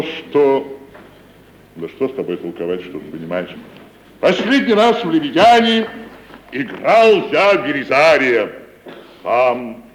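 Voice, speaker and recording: An actor playing a proud, bullying provincial tragedian in an old radio play, declaiming with grand condescension. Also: male voice, mid-range voice. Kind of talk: mid-century Russian stage radio acting. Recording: noisy 1950 radio recording.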